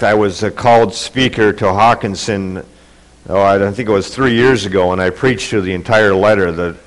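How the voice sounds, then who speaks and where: A man's voice: fairly low pitch, poor audio. A man delivers a church sermon through a pulpit microphone, his voice congested from a cold. He reads and preaches earnestly, building in intensity as he goes. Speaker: pastor.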